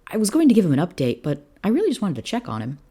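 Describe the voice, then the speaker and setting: A reader voicing a cheeky, dutiful young Roman officer in a story, brisk, formal and chatty. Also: medium pitch, wildly swooping pitch, mellow, husky, pitched above the performer's own voice, exaggerated voice. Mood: concerned.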